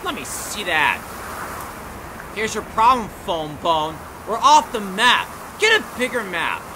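A man's voice: sits high, big pitch swings, slow pace, full character voice. A man voicing a greedy, pompous, hot-tempered little cartoon creature in a melodramatic, indignant amateur character demo. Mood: irritated scheming. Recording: clear background wind and noise.

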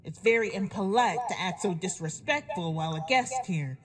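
A woman's voice: on the low side, animated, normal speed. A woman voicing a villainous female farm pony in a horror audio drama, mocking and menacing, with folksy, falsely polite talk. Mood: cold false politeness. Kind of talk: horror fan fiction audio drama acting.

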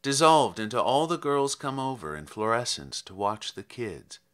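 A man reading his own literary poems as a poet, with measured, deliberate phrasing and dramatic emphasis. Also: medium pitch, animated, fairly bright tone.

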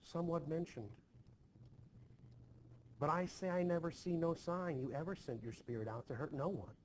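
A man voicing an anxious, self-important eighteen-year-old servant girl in an old-fashioned play, with excitable, rustic archaic speech. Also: fairly high pitch, moderate pace, slight background noise, voice pushed up, lightly acted. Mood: defiant. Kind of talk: expressive play reading.

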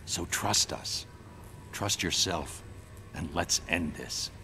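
An actor playing a grim, determined vigilante superhero in a video game, speaking in a terse, grave way. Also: male voice, low voice, slow pace, pushed and bright, soft background music, heavily acted. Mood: stern, urging. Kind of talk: dramatic video game cutscene acting.

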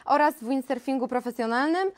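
Young woman moderator, higher voice. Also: a little fast. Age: young adult. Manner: hesitant, frequent fillers. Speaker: press conference moderator. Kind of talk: reading questions aloud. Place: conference room, indoors.

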